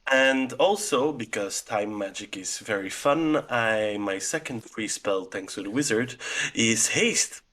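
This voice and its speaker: A man playing a tabletop game, calmly describing his wizard's new spells. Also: fairly low pitch, measured pace. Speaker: player. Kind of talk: casual tabletop game chat.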